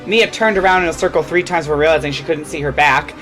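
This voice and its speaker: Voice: mid-range voice, quick pace, fairly bright tone, faint music behind. A theatrical reader telling a comedic scripted story, now and then stumbling over a line. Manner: theatrical, stumbles and repeats lines. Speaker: fan fiction reader.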